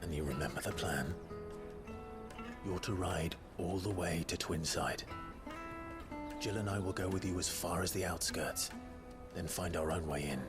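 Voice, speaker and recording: An actor playing a stoic, guarded mercenary in a video game cutscene, with a gruff voice. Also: male voice, low-pitched, unhurried, pauses often. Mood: calm, resolute. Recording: music behind the voice.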